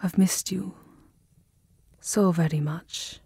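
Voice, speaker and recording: A woman playing a tender, lovesick vampire countess in an intimate ASMR roleplay, speaking softly and often dropping into a whisper, with formal, wistful endearments. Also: fairly low pitch, steady tone, slow pace, gravelly, husky, no echo, full character voice. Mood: relieved, loving. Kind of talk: ASMR roleplay, soft intimate romantic acting. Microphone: close microphone, dry. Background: music.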